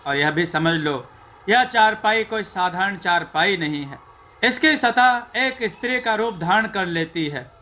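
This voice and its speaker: A man's voice: sits high, lively intonation, unhurried, bright, forceful tone, heavily acted. A man voicing a confident young storybook prince with an earnest, declamatory delivery. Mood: confident, resolute. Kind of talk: storybook character dialogue.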